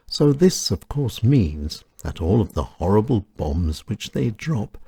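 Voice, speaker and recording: A man hosting his own show, reading his own reflective essay in a measured, solemn manner. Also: low voice, dark tone, husky, no echo, clean studio sound. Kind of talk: scripted reflective narration.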